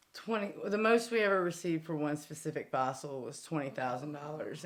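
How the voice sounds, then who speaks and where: A man who hunts and prepares fossils, recounting his work in a documentary interview. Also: medium pitch.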